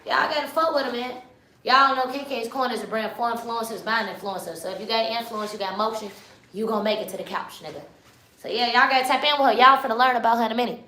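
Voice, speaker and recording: A woman's voice: a little high, fast, sharp tone, reverberant, slight background noise, low-quality recording. A young woman from Chicago in her twenties, hosting a live stream in loose, slangy casual chat that keeps turning to the chat. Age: 20s. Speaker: livestream host.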